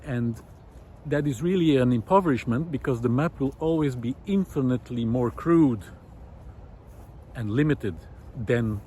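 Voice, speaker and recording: Middle aged guy thinking out loud on a walk, pauses a lot, bit of outdoor noise, medium pitch. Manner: musing, hesitant, with frequent pauses. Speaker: visual artist and vlog host. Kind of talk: reflective monologue while walking. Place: outdoors, walking in a park.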